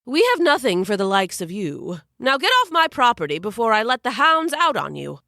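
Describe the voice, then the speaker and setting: A woman's voice: fairly high pitch, very animated, brisk, creaky, pushed and bright, no room sound, studio quality, exaggerated voice. A woman voicing a rude, stingy rich man with a snooty, dismissive manner.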